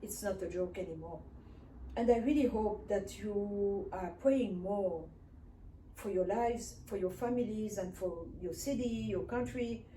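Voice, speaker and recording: A woman's voice: mid-range voice, unhurried, warm tone. Earnest woman minister, echoey room. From Africa. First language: Swahili. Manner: earnest and emphatic, repeating phrases. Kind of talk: personal testimony sermon. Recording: echoey room, distant mic, faint hiss.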